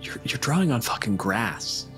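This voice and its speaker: A man's voice: medium pitch, very animated, normal speed, fairly bright tone, soft background music. A man acting as game master in a tabletop roleplaying game, talking casually with some swearing.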